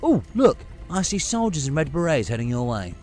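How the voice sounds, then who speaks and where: A man's voice: a little high, very animated, fairly quick, a little mellow, faint music behind. A man playing a playful, flippant time-travelling adventurer in an audio drama. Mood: warm farewell. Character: the Doctor, playful male time-travelling adventurer, quick and evasive.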